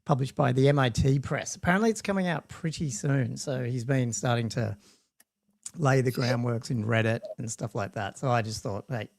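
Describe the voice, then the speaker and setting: A man's voice: mid-range voice, normal speed, gravelly, no echo, clean studio sound. A man with an Australian accent, hosting a chatty tabletop gaming podcast in a rambling, laughing way. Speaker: podcast host. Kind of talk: casual podcast chat.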